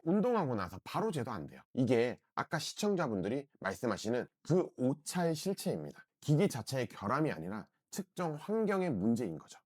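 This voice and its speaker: A middle-aged man, hoarse from a throat cold, talks through a home product review. His pitch swings up and down a lot as he pushes his point. The sound is close and dry, with no room echo. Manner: earnest, repeats "진짜" often, persuasive. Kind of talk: casual review vlog.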